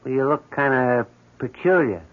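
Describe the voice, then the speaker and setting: An actor playing a blunt, folksy, nosy building superintendent who talks casually in an old radio drama. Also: male voice, medium pitch, moderate pace, lightly acted. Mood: nosy, knowing. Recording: dull vintage radio recording.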